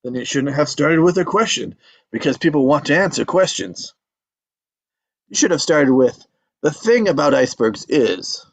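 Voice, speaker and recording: A man's voice: a little high, animated, moderate pace, gravelly. A man playing an aging father, a stubborn, folksy teller of tall tales, in a script table read. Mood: stubborn, defensive. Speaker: actor in a screenplay table read. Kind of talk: live script table read, dramatic dialogue.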